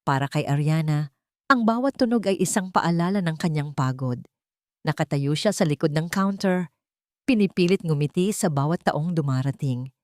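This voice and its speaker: A woman's voice: deep voice, expressive, moderate pace, a little mellow, no echo, clean studio sound. A woman reading a dramatic romance story as a scripted narrator.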